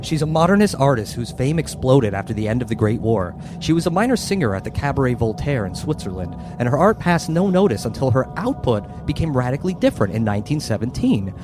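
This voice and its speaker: A man's voice: medium pitch, very animated, fast talker, hardly any pauses. A man narrating a tabletop horror game as the game master, with music behind him.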